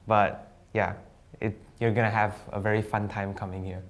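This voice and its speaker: A young man from Sabah, a student guest chatting casually on a live stream, relaxed and jokey with slang and hesitant fillers. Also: lowish voice, moderate pace. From Sabah, East Malaysia. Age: young adult.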